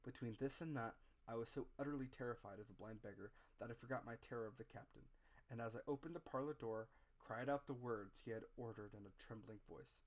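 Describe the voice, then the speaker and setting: A man narrating an adventure story as a dramatic audiobook reading, measured and expressive. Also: mid-range voice.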